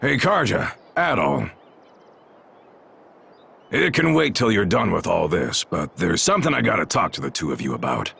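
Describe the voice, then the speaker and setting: An actor playing a brash, blunt Norman warrior chief in a video game, gravelly and husky, with a gruff, folksy, commanding way of talking. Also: low-pitched, big pitch swings, moderate pace, pauses often, sharp tone, full character voice. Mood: gruff, frank. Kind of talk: video game cutscene acting.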